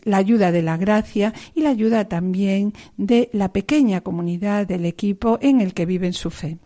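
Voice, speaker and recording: Woman host, mellow voice, formal devout hosting, measured delivery. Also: medium pitch.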